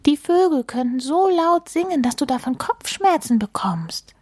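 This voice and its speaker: Casting: woman as a sly, fussing male mole for a children's storybook, insistent and cautioning, raised pitch, close dry sound. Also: animated, unhurried, dark tone, dry recording. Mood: fussy worrying. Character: bossy, fretful, sly male mole friend, storybook animal.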